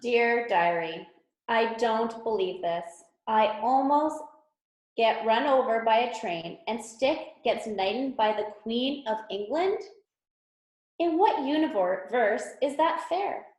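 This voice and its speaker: A woman's voice: fairly high pitch, animated, takes it slowly, a little mellow. A woman reading a children's storybook as a grumpy, long-suffering stuffed toy, with a sardonic, exasperated manner. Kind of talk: children's storybook read-aloud. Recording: echoey room.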